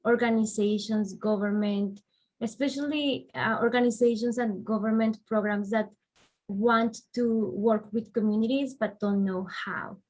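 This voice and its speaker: A woman from Colombia with a Colombian accent, a guest in an interview, explaining her work thoughtfully at length with soft fillers. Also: medium pitch, rough recording. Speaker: guest, director of refugee and immigrant services. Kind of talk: conversational interview answers.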